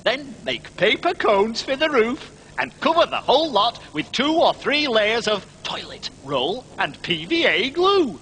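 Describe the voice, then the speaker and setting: Quirky talking head for a kids' craft show: high, very animated, cheerful and deliberate. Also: female voice, slight background noise. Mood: cheerful, instructive. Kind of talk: children's TV craft recap.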